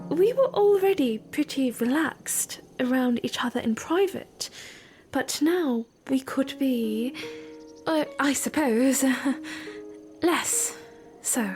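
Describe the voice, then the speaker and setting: A woman playing a playful, earnest young former princess in a fan audio drama, with formal, expressive phrasing. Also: high-pitched. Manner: expressive, formal wording, teasing, hesitant when flustered.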